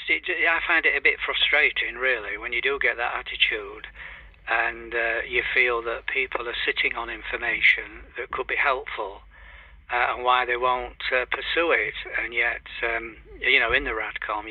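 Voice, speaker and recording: A man's voice: mid-range voice, slightly rough, no room sound. An older man chatting in a hesitant, rambling way as an amateur radio operator. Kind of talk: casual radio chat. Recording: dull lo-fi radio link.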